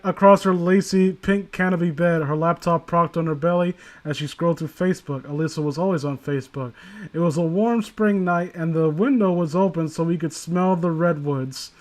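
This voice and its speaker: A man hosting a comedic read-along, reading a story aloud and riffing on it irreverently, prone to breaking into laughter. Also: high voice. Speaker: host reading a creepypasta aloud with friends.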